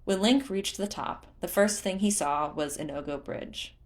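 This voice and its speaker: A woman reading a story aloud in an expressive, scripted narration style. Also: medium pitch, measured pace. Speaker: fan fiction narrator.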